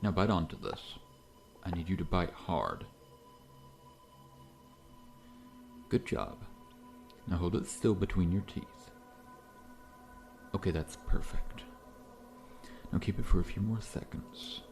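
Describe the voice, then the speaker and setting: A man playing a massive, friendly but faintly menacing scorpion-man dentist in an ASMR roleplay, speaking in a gentle, reassuring, politely formal way. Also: low-pitched, very animated, measured pace, vocal fry, pauses often, heavily acted. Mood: calm, reassuring. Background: background music.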